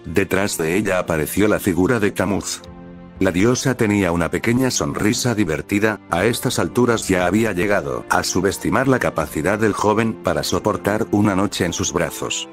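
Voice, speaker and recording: A man reading a fanfiction story aloud as scripted narration, measured and delivered in short phrases. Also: low voice. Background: soft background music.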